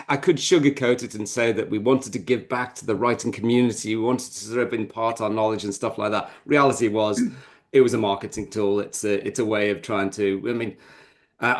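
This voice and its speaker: Male podcast co-host and author, lower register, conversational and meandering, candid with a dry joking edge. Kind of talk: casual interview talk.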